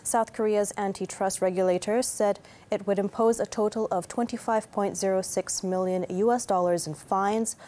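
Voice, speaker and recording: A woman's voice: mid-range voice, steady tone, normal speed, hardly any pauses, dark tone. A woman reading scripted news as an anchor.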